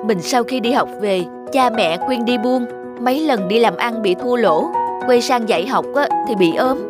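An actor voicing a poor, kind-hearted, emotional scholar in an animated morality tale. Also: medium pitch, normal speed, close to an everyday voice. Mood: rueful, friendly. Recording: background music throughout.

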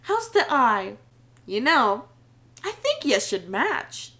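A woman's voice: fairly high pitch, very animated, takes it slowly, sharp tone, exaggerated voice. A woman voicing a bold teenage girl who poses as a newsboy, in a fan audio drama. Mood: cocky. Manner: bold, cocky.

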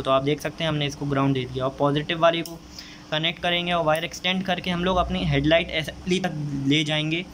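A young man talks viewers through a car wiring job in a friendly, matter-of-fact way, his voice sitting a little high. He is recorded on a handheld phone outdoors beside the car. Faint ambient noise runs underneath. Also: fairly bright tone. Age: young adult. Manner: chatty step-by-step explaining, addressing viewers as friends. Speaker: DIY car modification vlogger. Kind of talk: casual how-to explanation. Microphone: handheld phone camera.

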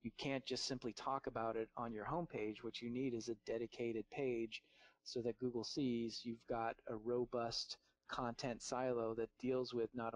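A man's voice: mid-range voice, dry recording, rough recording. A man giving a marketing webinar over slides, talking off the cuff with many fillers and restarts. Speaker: marketing presenter.

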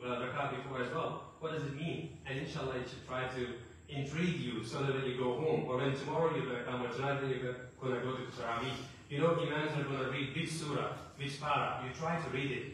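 A man delivers a Friday sermon at a quick pace, his earnest, chatty preaching full of rhetorical questions. He stands a little back from the mic, and the prayer hall throws a heavy echo around every word. A soft hum of room noise sits behind him. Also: medium pitch, distant mic. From not from an Arabic-speaking country. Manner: earnest, conversational preaching with many "you know" fillers and rhetorical questions. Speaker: mosque lecturer. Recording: very echoey hall, speaker off-mic.

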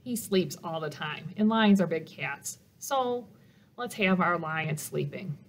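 Woman, middle-aged, storytime read-aloud, very lively intonation, slow pace, simple deliberate phrasing. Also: lowish voice. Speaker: children's librarian and storytime host. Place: small office room indoors.

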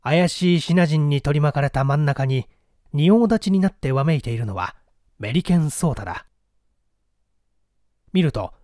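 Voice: mid-range voice, warm tone, dry recording, clean studio sound. A narrator reading a mystery story aloud in a dramatic scripted style.

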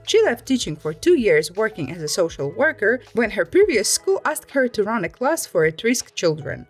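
A woman narrates stories in a scripted storytime style. Her pitch sits in a middle range for a woman but moves up and down in a very lively way. Music plays behind her voice. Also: slightly rough. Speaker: host reading Reddit stories.

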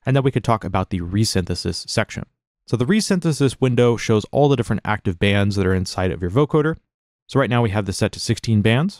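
Low-voiced man giving a tutorial, dry sound. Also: darkish tone, no echo. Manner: informal, explanatory. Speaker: software tutorial instructor. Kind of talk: casual step-by-step tutorial narration.

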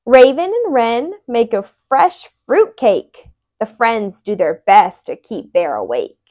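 A young woman reads a children's picture book aloud in an expressive, animated way, wearing a lapel mic indoors. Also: a little high, slow. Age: young adult. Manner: animated, with brief asides explaining words.

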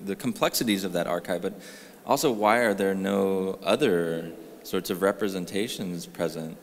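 A man's voice: lowish voice, slight background noise. A man in his thirties from California, earnestly explaining his ideas at a panel talk, holding a mic and restarting sentences. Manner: earnest, gestures while talking, many restarts. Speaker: artist panelist. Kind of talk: panel discussion. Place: gallery room with a live audience. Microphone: handheld mic. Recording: reverberant gallery hall.

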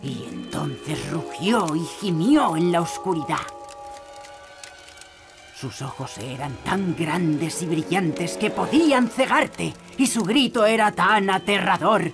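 A woman's voice: on the low side, expressive, takes it slowly, music in the background. A woman voicing an eager young male apprentice builder in a children's cartoon, with a Castilian Spanish accent. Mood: spooky storytelling. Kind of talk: animated children's cartoon dub.

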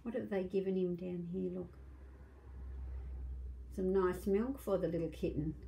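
A woman's voice: on the low side, steady tone, a little slow, vocal fry, warm tone, rough recording. A middle-aged woman reading aloud to young children as a storytime host, in a simple, questioning, child-directed manner. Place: small domestic room indoors.

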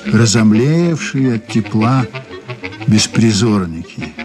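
An actor playing a composed, businesslike police investigator in a radio drama, measured and courteous. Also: male voice, medium pitch. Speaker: actor and narrator in a radio play. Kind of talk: 1980s Soviet radio drama acting.

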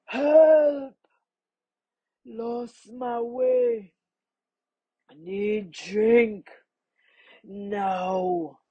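A woman plays a large, mud-caked crocodile from a children's tale. It speaks very slowly in short, laboured bursts. The voice is raspy and pleading, worn out with thirst. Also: fairly high pitch, fairly even intonation, muffled recording, voice pushed up, exaggerated voice. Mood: parched, pleading. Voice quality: rasping, husky. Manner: slow, laboured, pleading in clipped phrases. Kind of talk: children's storybook character voice.

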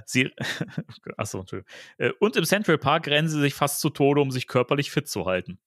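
Male podcast host, slightly high voice, reads prose with giggly, joking interruptions, dry sound. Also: very animated, fairly quick, a bit bright, dry recording. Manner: giggly, breaks off to comment. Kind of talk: reading a novel aloud with joking asides.

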